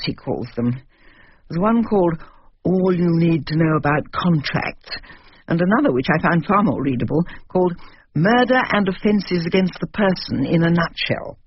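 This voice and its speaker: A woman's voice: on the low side, natural voice. An older woman with an English accent playing a bossy, ambitious wife in a radio drama, prim and reproving. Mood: prim, self-satisfied. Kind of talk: radio drama dialogue and diary monologue. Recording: muffled radio recording, faint noise.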